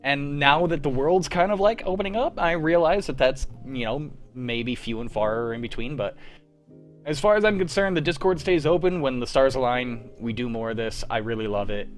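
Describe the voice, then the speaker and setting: A man's voice: medium pitch, lively intonation, normal speed, faint music behind. A young man hosting a livestream, chatting casually and rambling with lots of fillers. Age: young adult. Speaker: show organizer and host. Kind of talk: casual wrap-up chat on a livestream.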